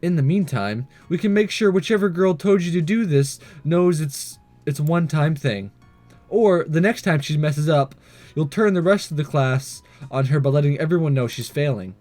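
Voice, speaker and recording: A man's voice: fairly high pitch, moderate pace, a little mellow, faint music behind, pitched below the performer's own voice, natural voice. A man voicing a supportive, honest teenage student in a visual novel, plain-spoken and encouraging. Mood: advising. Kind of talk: visual novel dialogue read aloud.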